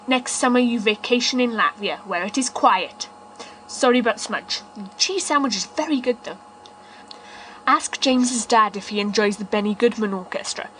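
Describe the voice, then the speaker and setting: A woman reading a fan fiction letter aloud in a dry, measured, deadpan way. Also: fairly high pitch, slight background noise, rough recording. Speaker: podfic reader.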